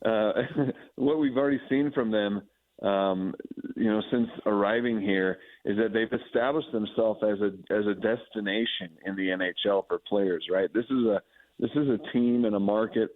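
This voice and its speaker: A tinny, phone-like connection carries a fairly low American male voice. He is a sports anchor guesting on radio, effusive and conversational, often repeating and rephrasing to build a point. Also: normal speed, no room sound. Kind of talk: conversational sports analysis interview.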